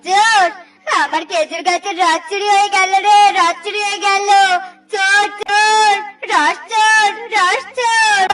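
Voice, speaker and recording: An alarmed cartoon villager, wailing at an extremely high pitch over clear background music. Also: female voice, fairly even intonation, measured pace, pauses often. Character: panicked, wailing cartoon date-palm sap owner. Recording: music behind the voice.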